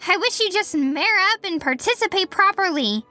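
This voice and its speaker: A woman's voice: extremely high pitch, lively intonation, normal speed, bright, forceful tone, heavily acted. A woman voicing an exasperated, prim young female pony with an earnest, scolding manner, in a cartoon fanfic reading. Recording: music behind the voice.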